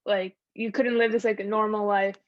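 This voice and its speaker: A woman's voice: mid-range voice, little pitch movement, moderate pace, no echo. A woman co-hosting an interview show, asking questions casually with lots of "like" fillers. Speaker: co-host. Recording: dull, dry lo-fi sound.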